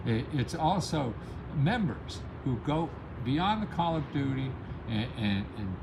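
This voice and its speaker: A man's voice: fairly high pitch, gravelly, background noise. A man hosting an advice channel in a casual, rambling, motivational style, talking emphatically and readily amused by his own points. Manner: emphatic, chuckles often at own remarks. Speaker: host of a grant-help advice channel.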